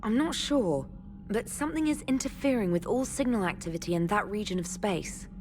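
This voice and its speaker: A woman's voice: medium pitch, animated, brisk, background noise, lightly acted. A woman playing an earnest communications specialist in a science fiction game, hesitant yet precise. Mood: tentative, explaining. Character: earnest, tentative young female communications specialist. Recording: music behind the voice.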